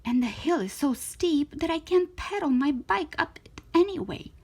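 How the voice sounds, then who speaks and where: A woman reading a children's story aloud in an expressive, theatrical way, with emphatic stretched words. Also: sits high, moderate pace. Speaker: storytime reader of children's books.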